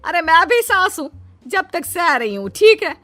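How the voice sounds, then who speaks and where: A woman's voice: extremely high pitch, sing-song, measured pace, sharp tone, soft background music, heavily acted. A woman voicing a proud, put-upon older mother-in-law in a moral story, indignant and bossy. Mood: indignant pride. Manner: indignant, boastful, calling out bossily. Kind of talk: Hindi moral story acting.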